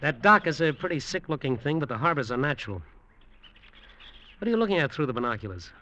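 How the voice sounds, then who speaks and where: An actor playing a brash, cocky, short-tempered hotel developer in slangy, emphatic old-time radio drama style. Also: male voice, a little high, very animated, fast talker, bright, forceful tone. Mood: breezy, cocky. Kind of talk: old-time radio drama acting with first-person narration. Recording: vintage radio drama, music behind.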